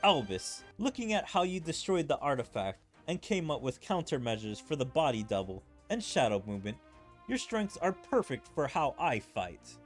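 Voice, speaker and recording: A man's voice: a little high, sing-song, moderate pace, faint music behind. A man voicing a composed, calculating fighter from an anime game, reading in a formal, theatrical way.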